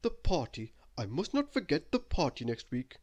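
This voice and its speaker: A man's voice: fairly high pitch, big pitch swings, normal speed, a little mellow. A man voicing an absent-minded storybook character who earnestly repeats things to himself. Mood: absent-minded reciting. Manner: repetitive muttering to himself.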